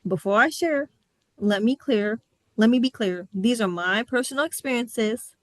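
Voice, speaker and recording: A woman's voice: a little high, measured pace, no echo. A young adult woman from the US Midwest hosting a podcast, telling personal stories in a candid, sassy way. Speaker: podcast host. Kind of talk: casual podcast chat.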